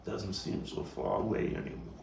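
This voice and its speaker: An actor playing a nostalgic, soul-searching Brooklyn man in a dramatic stage monologue, halting and repeating words as he moves between hushed and emphatic delivery. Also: low voice, sing-song, gravelly, exaggerated voice. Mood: brooding, anguished. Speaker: playwright and actor. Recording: distant mic, roomy echo, faint noise.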